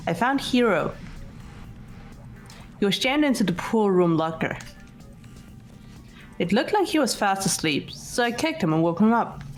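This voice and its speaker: Woman as reserved analytical girl, terse, matter-of-fact, mid pitch with lively movement, visual novel fan dub, music bed. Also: measured pace, pauses often. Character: cool, reserved, analytical teenage girl detective type. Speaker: voice actor in fan game playthrough. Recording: music under the voice.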